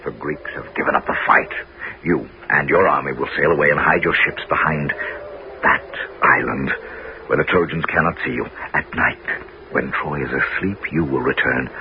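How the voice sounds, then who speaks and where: An actor playing a crafty Greek hero in a 1970s radio drama, speaking in a smooth, persuasive and scheming way. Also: male voice, very deep voice, moderate pace, noisy background. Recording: dull radio broadcast with music.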